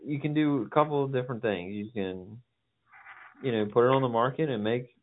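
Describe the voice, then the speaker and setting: Blunt persuasive guy, mid-pitch voice, phone line w/ a bit of background hiss. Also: brisk. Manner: blunt, persuasive, matter-of-fact about numbers. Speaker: property buyer or agent. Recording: tinny phone line with faint noise.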